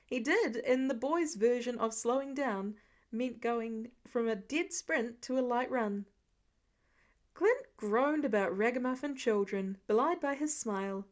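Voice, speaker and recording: Female podfic narrator, higher-pitched voice, scripted story reading. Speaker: podfic reader.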